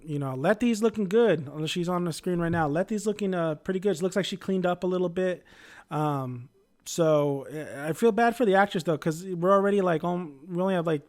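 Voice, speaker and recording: A man casually reviewing a TV episode, rambling with many fillers, often losing his train of thought, upbeat and chatty. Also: on the high side.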